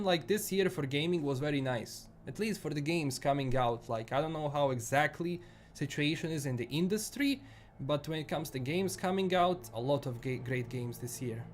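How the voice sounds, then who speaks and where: A man's voice: on the high side, slight background noise. A man with a Bosnian accent chatting casually on a live stream, rambling and restarting his sentences often. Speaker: livestream host.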